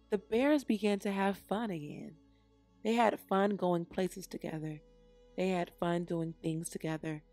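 A woman reads a children's storybook at a very slow pace. Her phrasing is deliberate and expressive, with music softly behind her. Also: medium pitch, vocal fry. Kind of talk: read-aloud scripted narration.

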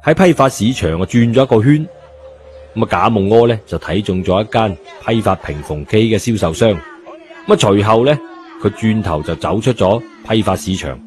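Low-pitched Cantonese male storyteller. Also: lively intonation, moderate pace, darkish tone. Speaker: audiobook narrator. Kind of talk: colloquial storytelling narration. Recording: background music under the voice.

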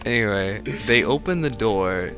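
Male co-host, lowish voice, mock dramatic story reader with sardonic asides, music behind. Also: animated, normal speed. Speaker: co-host reading fan fiction aloud. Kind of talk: mock dramatic reading with casual banter. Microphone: online voice call.

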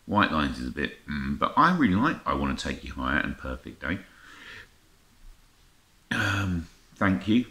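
A middle-aged man with an extremely deep voice talks casually to camera in a roomy, echoing space. His intonation is lively and swings around a lot. He sounds unscripted, muttering and exclaiming to himself as he goes through items. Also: unhurried, long pauses. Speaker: vlogger showing a music haul. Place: indoor room lined with record shelves. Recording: roomy echo, faint noise, clicking handling sounds.

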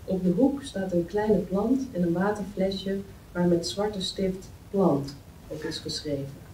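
Female table-read narrator, mellow delivery of scene descriptions, distant mic with faint noise. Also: fairly low pitch, a little slow, echoey room. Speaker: script reader of stage directions. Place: meeting room, indoors. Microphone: far camera.

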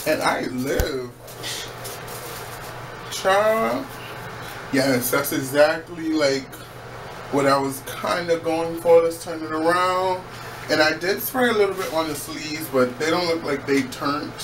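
A young man with a fairly high voice talks slowly and easily through a home craft project, tossing in playful asides. He is recorded on a camera mic in a small echoey bathroom, with a faint hiss of background noise. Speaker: DIY vlogger. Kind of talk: casual how-to vlog.

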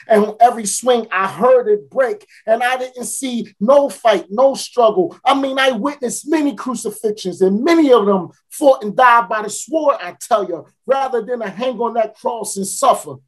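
A woman performing a first-person monologue as a mocking, boastful street fruit seller, talking folksy and dramatic, with repeated builds and sudden shouts. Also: mid-range voice, natural voice. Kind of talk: theatrical spoken-word monologue.

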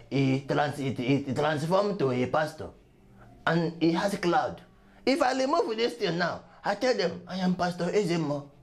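A Nigerian-accented man playing an envious, aggrieved village witch doctor who exclaims, wails and repeats himself in a creaky voice. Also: high-pitched.

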